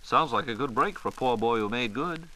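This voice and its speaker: American guy, old 1940s radio sidekick asking stuff, talks quick, sharp bright voice, kinda folksy. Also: mid-range voice, animated, low-quality recording. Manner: folksy, prompting interjections. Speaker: radio co-host asking questions. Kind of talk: 1940s scripted radio dialogue.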